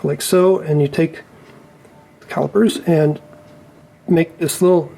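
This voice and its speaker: A man with a slightly high voice talks through a hands-on repair as a technician would in a tutorial. He explains each step methodically and carefully, in a casual, unscripted way. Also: fairly even intonation, measured pace, gravelly, warm tone, soft background music, poor audio.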